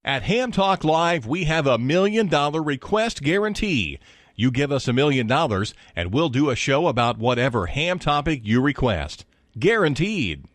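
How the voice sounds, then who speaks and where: Man acting male commercial announcer, over-the-top salesman, high voice, lively swoops, radio ad. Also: normal speed, dry recording, clean studio sound. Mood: mock-serious. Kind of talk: scripted radio ad read.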